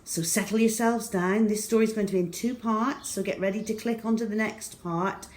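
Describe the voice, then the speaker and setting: A middle-aged woman reading a children's novel aloud to schoolchildren in a measured, deliberate way. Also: mid-range voice, steady tone, a little mellow. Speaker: storyteller for schoolchildren. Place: small plain room indoors.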